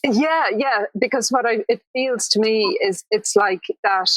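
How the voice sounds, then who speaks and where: A woman interviewing a poet, enthusiastic and quick to laugh. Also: on the high side, no room sound, rough recording. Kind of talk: conversational interview.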